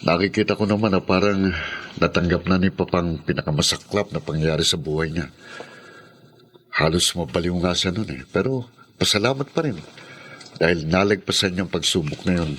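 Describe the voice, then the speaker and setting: Radio drama role: sincere young fiancé with old grievances, very low pitch, husky. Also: male voice, moderate pace, fairly bright tone. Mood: hopeful, earnest. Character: earnest, aggrieved son and fiancé.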